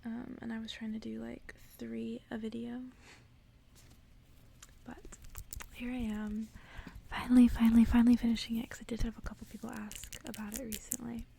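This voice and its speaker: A woman whispering close to the mic in a hushed, soothing ASMR style, as if reading and chatting someone to sleep. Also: a little high, little pitch movement, creaky. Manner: hushed whispering, soothing slow repetition of phrases. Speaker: ASMR host and book reader. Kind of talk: whispered ASMR, casual chat and bedtime story reading. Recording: faint background noise.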